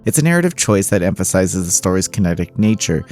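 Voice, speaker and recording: A man reading a scripted video game review in a measured, formal way, as a voice-over. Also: low-pitched, very animated, darkish tone. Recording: voice-over with background music. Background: music.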